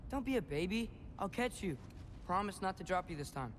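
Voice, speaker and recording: A young man playing an earnest, headstrong teenage boy adventurer in a video game, stubborn and quick to justify himself. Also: high-pitched, expressive, background noise. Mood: defiant, teasing. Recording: music behind the voice.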